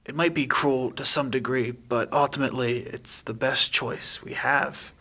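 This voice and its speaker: Man acting calm, pragmatic ship leader. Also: mid-range voice, measured pace. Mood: firm, justifying.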